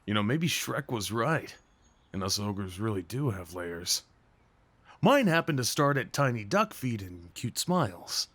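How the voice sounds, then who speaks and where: A man acting a big, sweet, slightly nervous date in an ASMR roleplay, with playful teasing, boyish enthusiasm and soft hesitations. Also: fairly low pitch, no room sound, exaggerated voice. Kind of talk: ASMR roleplay audio drama, one-sided conversation.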